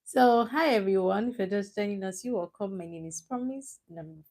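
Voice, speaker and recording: A woman's voice: medium pitch, normal speed, a little mellow. Young Nigerian woman, live stream host; casual, chatty, laughs easily. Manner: chatty, teasing, laughs often, answers chat comments. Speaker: livestream host.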